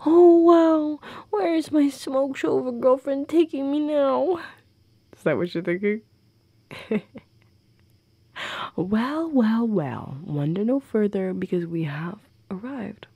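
Goth girlfriend, played by a woman for intimate roleplay audio: unhurried, gravelly fry, soft, playful, affectionate. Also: high voice, lively intonation, dry recording, narrow, tinny audio, full character voice. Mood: playful, teasing. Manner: teasing, giggly, intimate. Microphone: close, dry microphone.

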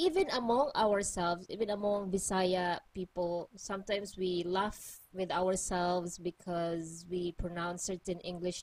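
Woman teacher with a Cebuano accent, unhurried delivery, repeats each word patiently for learners. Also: medium pitch, a little mellow, low-quality recording. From Philippines. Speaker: language teacher. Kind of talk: online language lesson.

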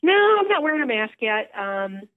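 A woman with a low voice, talking chattily on a phone call into a radio show, upbeat, with lots of fillers. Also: very animated, moderate pace, no room sound. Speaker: theater director calling in. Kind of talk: casual phone interview. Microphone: telephone. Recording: tinny phone line.

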